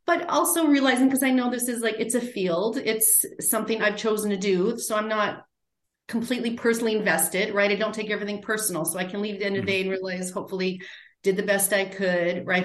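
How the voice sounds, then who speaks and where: A middle-aged woman talks in an easy, chatty way and keeps checking in with "right?". Her pitch sits in the middle, and the room adds a clear echo. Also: steady tone, brisk. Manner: chatty, warm, many "right?" and "like" tags. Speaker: guest, end-of-life doula. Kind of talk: casual interview talk.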